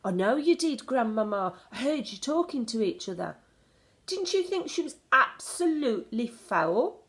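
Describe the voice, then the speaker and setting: Woman acting a plucky little boy, high voice, eager, excitable, children's storybook. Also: normal speed. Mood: eager, confiding. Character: excitable, plucky young grandson turned mouse.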